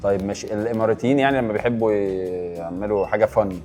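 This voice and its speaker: Very fast-talking Egyptian man, casual. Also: lowish voice, steady tone, dark tone. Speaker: panelist on a guessing game show. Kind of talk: casual game show banter. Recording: music behind the voice. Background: background music.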